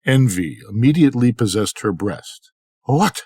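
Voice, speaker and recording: An older man reading a scripted bedtime story in an expressive, measured storytelling manner. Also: on the low side, no echo, studio quality. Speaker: storybook reader.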